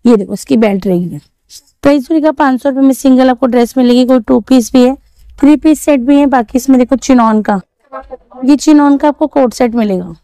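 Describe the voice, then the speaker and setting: A woman's voice: sits high, brisk, dark tone, dry recording, rough recording. A young woman vlogging at an outdoor market, chatting through prices and fabrics and asking shopkeepers. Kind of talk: walking market vlog showing clothes and prices. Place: busy outdoor street market.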